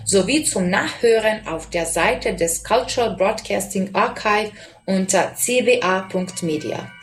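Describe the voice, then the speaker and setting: A woman radio presenter reading a scripted introduction to the show. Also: mid-range voice.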